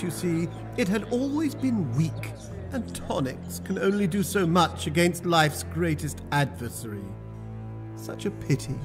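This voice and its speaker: A man playing a courteous, grandiose necromancer showman in a fantasy audio drama, speaking theatrically. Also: high voice. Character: smooth showman necromancer hosting high-society exhibition.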